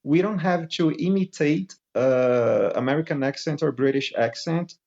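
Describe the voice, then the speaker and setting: A man's voice: fairly high pitch, normal speed, gravelly, a little mellow, highs cut off. A man in his late thirties from Brazil, an English teacher hosting a podcast chat in English with a Brazilian accent. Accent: Brazilian Portuguese. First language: Portuguese. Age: 30s.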